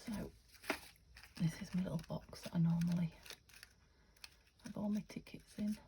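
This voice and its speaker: A woman from the UK chatting through a craft-along, thinking aloud and often dropping to a half-whisper, her voice a little croaky. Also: lowish voice, steady tone, normal speed, noisy background. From United Kingdom. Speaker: craft vlogger. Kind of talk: casual craft-along chat.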